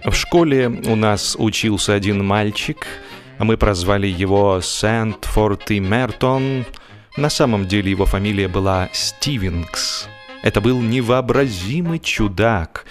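A man narrating comic literature as an audiobook performer, in an expressive, mock-serious way, drawing out the ends of his phrases. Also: lowish voice, soft background music. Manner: expressive, wry mock-serious delivery with drawn-out phrase endings.

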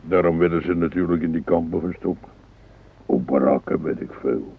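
An actor playing a blunt, cynical middle-aged husband in a naturalistic radio drama, gruff, sarcastic and complaining, with a husky voice. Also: male voice, deep voice, normal speed, exaggerated voice. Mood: dismissive. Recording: phone-like, narrow radio recording.

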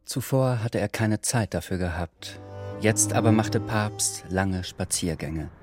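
Low-voiced male narrator over music. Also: measured pace. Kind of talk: scripted literary radio drama narration.

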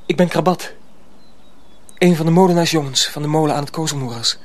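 A man's voice: on the high side, brisk, natural voice. An actor playing an earnest, secretive young mill apprentice in a radio drama, protective of his younger comrade. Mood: earnest, pleading.

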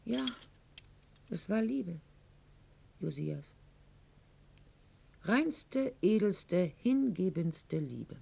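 Slow-speaking, theatrical, proud court lady. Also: lowish voice, very animated, creaky, warm tone, slight background noise, exaggerated voice. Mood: wounded, confessional. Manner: articulate, declamatory, ironic, with weighted pauses. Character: proud, wounded middle-aged aristocratic court lady of classical Weimar. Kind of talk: theatrical radio play monologue.

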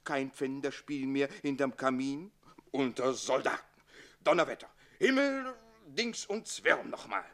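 Cocky scheming manservant, theatrical and boastful, very lively melody, classic radio drama. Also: male voice, medium pitch, unhurried. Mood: mock military bluster. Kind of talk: classic German radio play acting.

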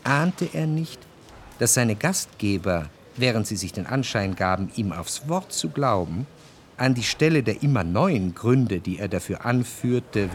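A man narrating a literary radio drama, phrasing long, scripted sentences in a measured way. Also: lowish voice, slight background noise. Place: dry studio.

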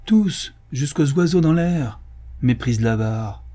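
An indignant wife and mother from a storybook, voiced by a male narrator. She scolds and insists with urgency, in a raised, husky pitch. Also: animated, fairly quick, warm tone. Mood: insistent. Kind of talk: audiobook character acting.

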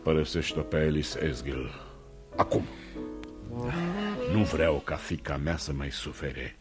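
A wealthy, domineering older father-in-law in a radio play. His voice is exceptionally deep, his delivery blunt, deliberate and commanding. Music plays clearly behind him. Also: steady tone. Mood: menacing. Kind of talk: radio theatre acting.

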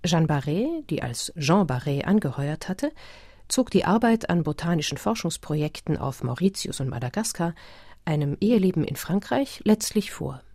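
Female narrator for literary radio drama: deep register, dark tone, measured and composed. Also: dry recording, studio quality.

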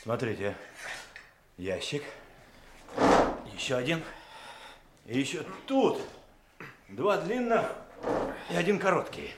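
Cocky, swooping young trainee. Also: male voice, on the high side, wildly swooping pitch, slow pace, pauses often, exaggerated voice. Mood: keen. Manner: boastful, keen. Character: cocky, eager young male police trainee. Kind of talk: Soviet radio drama acting. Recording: noisy old radio play recording.

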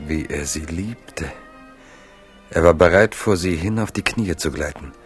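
An extremely deep-voiced narrator of a literary radio play. He is solemn and lyrical, with some violin music behind him. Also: measured pace. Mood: tender, rueful.

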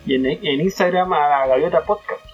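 A man's voice: on the high side, moderate pace, lo-fi sound, faint music behind. A man co-hosting an interview show in a casual, informal, joking style.

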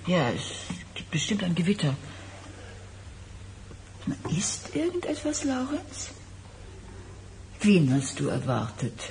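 An actress in a German radio play voicing a touchy, suspicious pregnant wife who probes and reproaches. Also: female voice, fairly low pitch, wildly swooping pitch, takes it slowly, pauses often, a little mellow, slight background noise, exaggerated voice. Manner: probing, reproachful.

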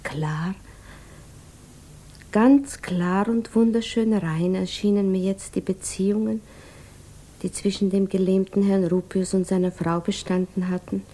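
An actress playing a grieving, lonely woman who recounts her sorrow in a solemn, hushed 1950s radio drama monologue. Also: mid-range voice, steady tone, unhurried, pauses often, natural voice. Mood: solemn, reflective. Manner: solemn, hushed, reflective, often sinking toward a whisper.

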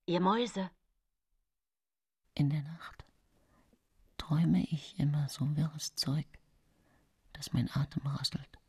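An accused woman poisoner in a radio play, widowed and haunted. She speaks in a deliberate, confiding way that can sink into a whisper. She sounds calculating, forever justifying herself. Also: low-pitched, a little mellow, husky, full character voice. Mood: menacing, dreamlike, then haunted, weary. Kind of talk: radio drama monologue.

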